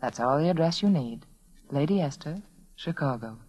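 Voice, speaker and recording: A woman's voice: sits low, expressive, moderate pace. A woman reading a scripted 1940s radio commercial in a confiding, persuasive, emphatic way. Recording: dull vintage 1940s radio recording.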